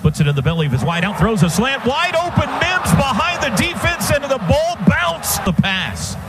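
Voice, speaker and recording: An American man doing live football play-by-play on the radio in clipped phrases that build to excited shouts. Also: extremely high pitch, moderate pace. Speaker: play-by-play announcer. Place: stadium radio booth. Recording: very noisy outdoor stadium broadcast. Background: loud stadium ambience.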